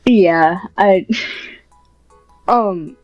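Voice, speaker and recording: A woman's voice: medium pitch, drawn-out words, darkish tone, dry recording, lo-fi sound, soft background music. A woman podcast guest chatting casually in a modest, informal and hesitant way. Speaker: podcast guest, script writer. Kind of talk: casual interview chat.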